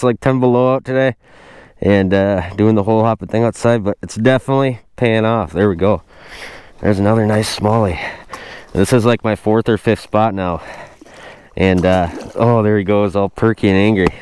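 A man hosting a casual fishing vlog outdoors on a frozen lake, recorded on a wearable camera, exclaiming excitedly at each catch. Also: lowish voice, clean tone, dry recording. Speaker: host. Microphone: wearable action camera.

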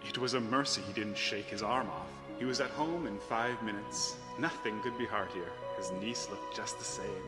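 Low-voiced male storyteller in reverberant hall. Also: normal speed, few pauses. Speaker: narrator. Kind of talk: scripted dramatic storybook narration. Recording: long hall reverb, music behind.

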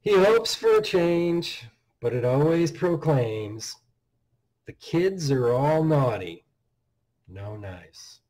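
A man in his fifties giving a dramatic, theatrical reading of a horror story, with measured delivery and deliberate pauses, recorded at a desk in a small room. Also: mid-range voice, big pitch swings, a little mellow, low-quality recording. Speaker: author reading own story. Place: small indoor room at home.

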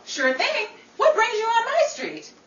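A woman acts out a vain, wisecracking 1950s film star in radio comedy style. Her voice is high and swoops with arch, theatrical sass. It sounds roomy. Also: big pitch swings, a little slow, muffled recording, full character voice. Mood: vain, teasing. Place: small room staged as radio studio. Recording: roomy, distant mic, noisy.

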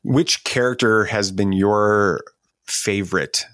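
A man's voice: lowish voice, slow, fairly bright tone, dry recording, studio quality. A man hosting a podcast, reading a scripted introduction. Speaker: podcast host.

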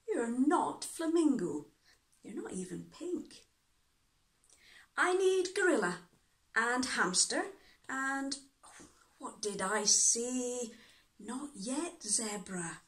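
A woman voices a bossy little girl in a storybook, exasperated and firm. The voice is fairly high and very animated, with emphatic, scolding phrases delivered at an unhurried pace. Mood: impatient. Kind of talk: picture-book read-aloud.

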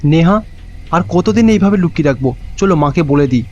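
A man voicing a gentle, supportive young husband in a cartoon, earnest and reassuring. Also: a little high, lively intonation, brisk, lightly acted. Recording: dull lo-fi sound with music.

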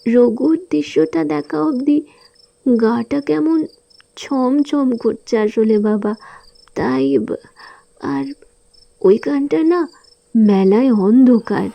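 A woman's voice: high voice, slow pace, gravelly, long pauses, no echo, highs cut off, heavily acted. A woman acting an outspoken young married daughter in a sad audio drama, emotional and pleading, speaking with precocious earnestness. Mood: timid, coaxing.

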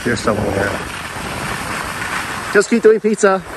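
A man in his thirties narrating on a ski slope while coaching his young daughter. His pitch swings widely as he cheers her on. Heavy wind and snow roar cover the recording from a camera he wears. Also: sing-song, measured pace. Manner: encouraging, coaching a child. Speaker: father filming his daughter skiing. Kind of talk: casual vlog narration while skiing. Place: outdoors on a snowy ski slope.

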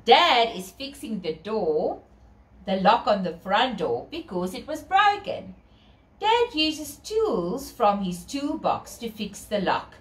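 Female children's storyteller, South African accent, theatrical sing-song delivery, high-ish unhurried voice, roomy sound. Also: sing-song. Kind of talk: reading a picture book aloud to children, with asides. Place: small bedroom, indoors. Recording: roomy echo from camera distance.

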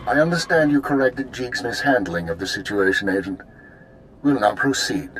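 A man's voice: mid-range voice, normal speed, a bit bright, faint music behind, close to an everyday voice, poor audio. A man playing a stern, formal, middle-aged intelligence officer in a video game cutscene. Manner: formal, authoritative. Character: stern, clipped Imperial intelligence officer, male. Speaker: intelligence handler.

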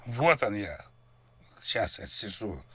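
Male prank caller, very low register, rough husky tone, rambling, crude, mock-earnest delivery. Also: big pitch swings, slow pace, a bit bright, no room sound. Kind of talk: rambling prank phone call.

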